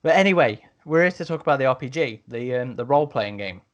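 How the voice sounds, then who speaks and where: A man hosting a casual podcast chat, with a halting, rambling delivery. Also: medium pitch, animated, dull audio. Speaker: podcast host.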